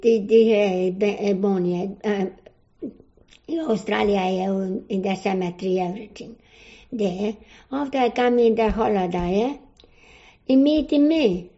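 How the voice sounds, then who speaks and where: An older woman from Croatia, a native Croatian speaker with a Croatian accent, answering interview questions in halting broken English and often searching for words. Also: mid-range voice, warm tone. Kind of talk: oral history interview. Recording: dull lo-fi recording.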